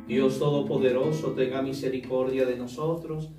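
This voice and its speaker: Priest leading Mass in an echoey chapel. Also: male voice, medium pitch, steady tone, loud music behind the voice. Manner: measured liturgical recitation. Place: small chapel indoors. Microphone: far camera. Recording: echoey room, distant mic.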